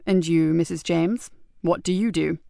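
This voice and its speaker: A woman voicing a calm male police detective who asks questions in a quiet, measured way. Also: fairly low pitch, gravelly, no room sound, clean studio sound.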